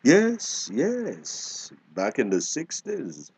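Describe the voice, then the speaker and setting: A man's voice: medium pitch, wildly swooping pitch, drawn-out words, creaky, darkish tone, slightly rough, heavily acted. An actor playing a weary, bitter old grandfather in a screen drama, with a Belizean accent. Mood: wistful. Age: older.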